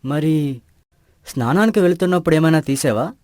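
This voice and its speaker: A man plays A calm, inquisitive village friend from an animated moral tale. His voice is a touch high and he questions with patient reassurance, in a dry recording. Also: fairly quick, no room sound, lightly acted. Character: level-headed village friend investigating patiently. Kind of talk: animated moral story dialogue.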